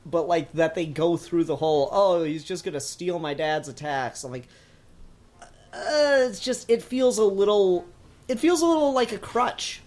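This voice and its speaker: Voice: mid-range voice, expressive, moderate pace, darkish tone. A podcast host in a casual discussion, talking in a rambling, hesitant way. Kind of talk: casual discussion of comics and anime.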